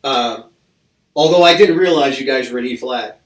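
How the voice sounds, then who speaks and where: A man's voice: medium pitch, normal speed. A man hosting a podcast, chatting casually with his guests, often restarting his sentences and joking as he goes. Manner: rambling, restarts sentences, jokey. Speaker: podcast host. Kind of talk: casual interview chat. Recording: roomy mic from a distance.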